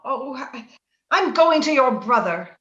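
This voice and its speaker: In a roomy, slightly dull recording, a woman plays a jealous, anguished young married lady of an old-time radio drama. The voice is high and the delivery haughty and melodramatic. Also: animated, bright, forceful tone, full character voice. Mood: shocked, anguished. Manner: melodramatic, halting when upset. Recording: roomy, slightly dull remote-call sound.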